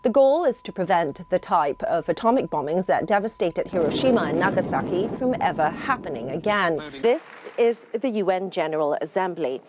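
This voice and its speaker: A woman news correspondent delivering formal, measured broadcast reports. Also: medium pitch, darkish tone, a little hiss or hum.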